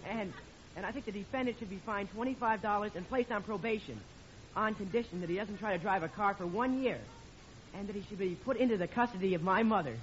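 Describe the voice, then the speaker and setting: High-voiced earnest teenage son. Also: male voice, fairly bright tone. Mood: earnest, hesitant. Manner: halting, earnest. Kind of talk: 1940s radio sitcom acting. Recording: noisy, dull old radio recording.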